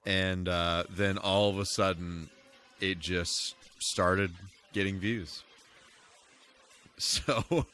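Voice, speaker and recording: A man's voice: deep voice, steady tone, extremely slow, pauses often, pushed and bright, slight background noise. A man live-streaming, talking in a casual, rambling and conversational way. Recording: music playing behind the voice. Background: music.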